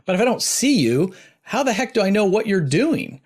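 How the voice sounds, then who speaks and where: Male host, fairly high voice. Conversational interviewer who leads into questions through short stories. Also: sing-song, brisk.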